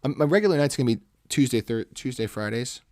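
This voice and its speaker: A man's voice: mid-range voice, lively intonation, quick pace, a little mellow, no echo. A man with a commanding, salesman-like voice chats casually to viewers while sketching on a livestream, rambling and correcting himself, close on the mic. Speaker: art livestream host. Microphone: microphone close to the face.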